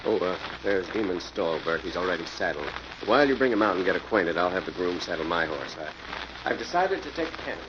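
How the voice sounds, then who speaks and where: A man's voice: low voice, lively intonation, a little fast. An actor playing an obliging horseman, a trusted friend, in a 1940s-style radio mystery. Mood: reserved, hesitant. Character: smooth, obliging horseman, friend of the stable owner. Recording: muffled old radio recording, heavy crackle.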